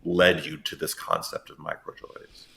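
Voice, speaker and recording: A male interview host with a very low voice that rasps into a gravelly fry. He asks earnest, searching questions and repeats words as he works out his thought. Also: very animated, measured pace, sharp tone. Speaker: podcast host. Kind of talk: interview conversation.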